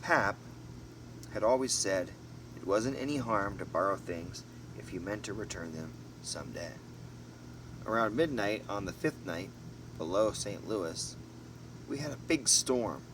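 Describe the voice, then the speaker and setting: Amateur male audiobook narrator: lowish voice, casual storybook delivery in broken phrases, noisy homemade recording. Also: animated, a little slow. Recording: noisy, distant mic, vehicle-like background hum.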